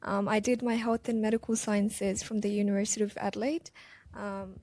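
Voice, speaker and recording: A young woman from Pakistan introducing herself to a class, soft-spoken with some ums. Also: medium pitch, level tone, moderate pace, no room sound.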